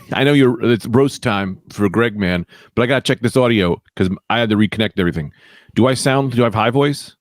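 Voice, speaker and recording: A man's voice: on the low side, brisk, dry recording, studio quality. A man co-hosting a comedy podcast, chatting casually in a slangy, storytelling way. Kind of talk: casual chat.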